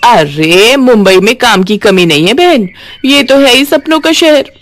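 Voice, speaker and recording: A woman voicing a brisk, kind-hearted working woman from a city slum in a moral story. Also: a little high, lively intonation, voice pushed up. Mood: encouraging.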